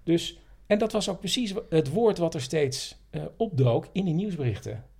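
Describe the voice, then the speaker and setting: Guy podcast chat, husky with some fry, explaining stuff like he's telling a story. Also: fairly high pitch, animated, normal speed, mellow. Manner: explanatory, anecdotal. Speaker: podcast co-host. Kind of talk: casual conversational storytelling.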